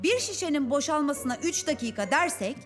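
Studious cartoon child: high, very animated, earnest explainer, over prominent music. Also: female voice, a little fast. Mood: earnest, explaining. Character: clever, studious child explaining things patiently. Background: cartoon background music.

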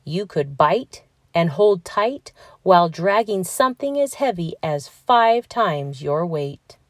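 A woman narrating a children's picture book aloud in a scripted read-aloud style, with clearly enunciated words and expressive emphasis. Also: fairly low pitch, takes it slowly, no room sound, studio quality.

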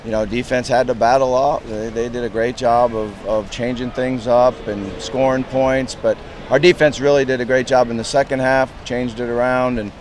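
A man in his fifties, a head football coach, answering reporters outdoors at a stadium in a plain, measured way with lots of "you know" fillers. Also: mid-range voice, steady tone, darkish tone, a little hiss or hum. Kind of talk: post-game press interview.

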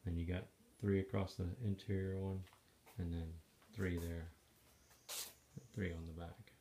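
A man casually narrating, step by step, the assembly of a trailer he is building, talking in a plain, matter-of-fact way with some halting pauses, filmed on a handheld phone in a garage. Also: low voice, little pitch movement, noisy background. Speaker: DIY video maker. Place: garage or shop and outdoors by a trailer. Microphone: handheld phone camera.

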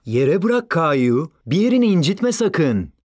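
A man playing a gentle, sensible young father in a cartoon. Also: a little high, big pitch swings, slow pace. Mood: concerned. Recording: music under the voice.

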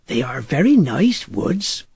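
A man voicing a wild, sly teenage werewolf boy who speaks slowly and insolently, savouring words in a husky voice, for an audiobook. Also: mid-range voice, very animated, a little mellow, no echo, heavily acted. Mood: lazy, patronising. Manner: slow, drawn-out, relishing words. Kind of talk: audiobook character voice.

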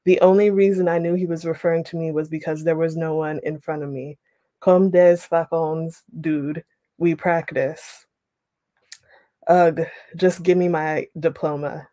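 A young woman leading an online writing workshop, reading a passage aloud and then explaining it with relaxed pauses over a video call. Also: low voice, no echo. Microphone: webcam on a video call.

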